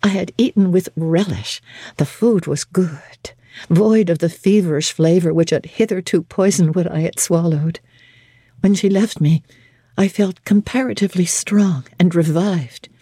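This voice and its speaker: Female audiobook narrator, mellow and husky, deliberate dramatic reading, dry close sound. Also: fairly low pitch, dry recording. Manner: deliberate, expressive, formal diction. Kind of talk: dramatic literary narration, first person.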